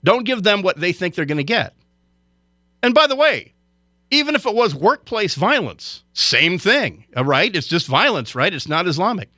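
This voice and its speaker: A man's voice: high-pitched, sing-song, normal speed, sharp tone, no room sound, studio quality. A man from Hawaii delivering an indignant, lecturing radio commentary rant.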